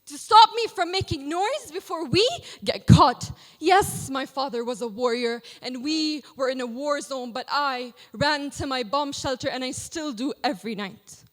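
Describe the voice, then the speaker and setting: A woman slam poet reciting in competition with a dramatic, emphatic delivery and deliberate pauses. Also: high-pitched, fairly bright tone. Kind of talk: slam poetry performance. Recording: reverberant hall.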